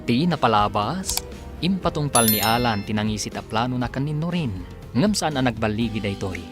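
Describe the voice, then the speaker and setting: A man's voice: mid-range voice, lively intonation, moderate pace. Filipino male radio announcer over music. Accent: Filipino, Ilocano. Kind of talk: scripted dramatic radio narration.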